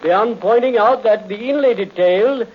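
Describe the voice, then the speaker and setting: An actor playing a flustered, fussy auctioneer with hesitant, formal speech in an old radio play. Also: male voice, high voice, normal speed, husky. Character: flustered, fussy male auctioneer pleading for order. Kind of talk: old-time radio acting. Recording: muffled noisy radio recording, crowd behind.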